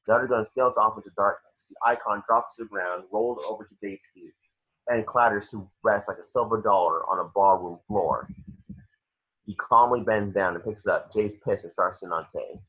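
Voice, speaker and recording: A man's voice: lowish voice, moderate pace, sharp tone, husky. A man reading scripted action descriptions as the narrator of an amateur table read. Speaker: stage direction narrator. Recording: dull lo-fi home recording.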